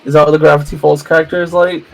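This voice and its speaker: Man, Welsh accent, slightly high voice, casual gaming chat, stammering restarts, faint game music behind. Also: level tone, racing, creaky. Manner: chatty, stammering restarts, musing aloud. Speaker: streamer.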